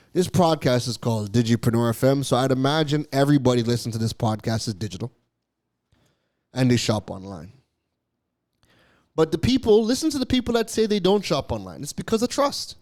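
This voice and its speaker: A man hosting his own podcast, talking straight to his listeners. His voice sits a little higher than most men's, and he drives his points home by repeating them. He sometimes trips over a word before pressing on. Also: a little mellow. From Canada. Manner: emphatic, repeats key phrases, occasional stumbles. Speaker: podcast host. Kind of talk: solo business podcast monologue.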